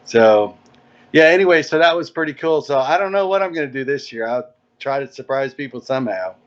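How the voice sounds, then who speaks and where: A man with a medium-pitched voice talks casually as a guest, laying out plans like an organizer. The audio is dull and lo-fi. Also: lively intonation, a little fast. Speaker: interview guest, event organizer. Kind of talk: casual chat explaining event plans.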